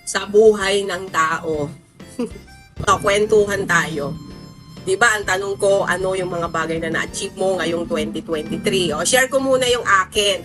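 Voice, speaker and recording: A Filipino woman in her forties, a teacher and content creator, chatting to her followers in a conversational, emphatic storytelling style with drawn-out words. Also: medium pitch. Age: 40s. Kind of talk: casual storytelling vlog to followers.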